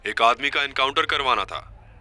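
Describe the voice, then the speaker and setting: A man performs a scheming young nephew for an audio drama. He is pushy and insistent, with a lively, swinging pitch. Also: normal speed, no room sound. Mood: cold.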